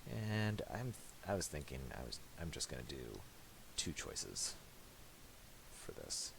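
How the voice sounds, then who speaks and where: Man, extremely low pitch, slow halting pace, casual tutorial, thinking aloud, clear hiss and clicks. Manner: hesitant, halting, piecemeal delivery. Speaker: tutorial presenter. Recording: steady hiss and clicking noise.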